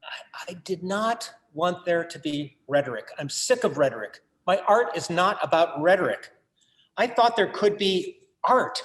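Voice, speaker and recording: An actor playing a wry, earnest, disillusioned collector and artist, musing in a theatrical staged reading. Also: male voice, high-pitched, lively intonation, unhurried, a bit bright. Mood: frustrated.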